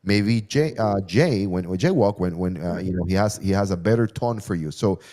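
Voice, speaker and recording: A man with a Puerto Rican Spanish accent hosting a casual online chat, halting and full of fillers. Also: sits low, warm tone. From Puerto Rico. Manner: halting, many fillers and repeats. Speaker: cybersecurity trainer and stream host.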